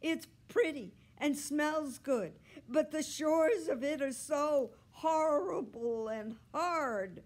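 A woman voicing a frantic, demanding female cat in a storybook, yowling loudly and complaining with desperate drama. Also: sits high, extremely slow, few pauses, pitched above the performer's own voice. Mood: aggrieved. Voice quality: loud, yowling.

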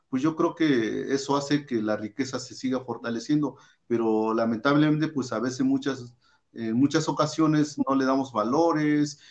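A man's voice: medium pitch, a little mellow. Hesitant Mexican man on a video call. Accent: Mexican Spanish. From Oaxaca, Mexico. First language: Mazatec. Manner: hesitant, many fillers "este". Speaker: guest artist. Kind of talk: casual interview talk. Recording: dull lo-fi video call audio.

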